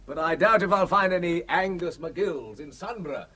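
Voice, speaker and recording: An earnest, husky-voiced searcher. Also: male voice, high-pitched, expressive, moderate pace, sharp tone, heavily acted. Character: determined young man searching for his sister. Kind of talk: 1970s radio drama acting.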